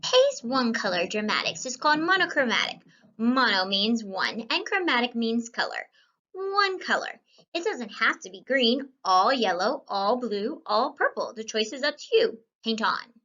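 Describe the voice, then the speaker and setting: A woman's voice: on the high side, sing-song, moderate pace, pushed and bright, highs cut off, exaggerated voice. A woman voicing a helpful male talking companion in a children's read-aloud, explaining art terms in a precise, instructive manner. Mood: instructive, encouraging.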